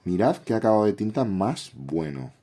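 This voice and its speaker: Man, Castilian Spanish accent, very low mellow voice, congested from a cold, informal friendly video host. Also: big pitch swings, normal speed. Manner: informal, friendly, addresses viewers directly. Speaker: YouTube host reviewing a drawing app. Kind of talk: casual tutorial and review chat.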